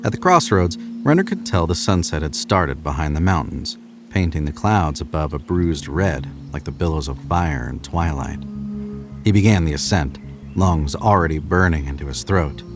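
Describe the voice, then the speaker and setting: A man's voice: a bass voice, animated, normal speed, music in the background. A man narrating scripted horror fiction in a dramatic storytelling style.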